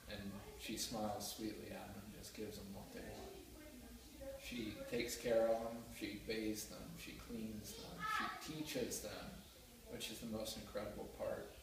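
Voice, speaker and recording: A young man giving an earnest, heartfelt class speech, with a few small restarts. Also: on the low side. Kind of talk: prepared speech. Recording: roomy echo, mic far off, faint noise.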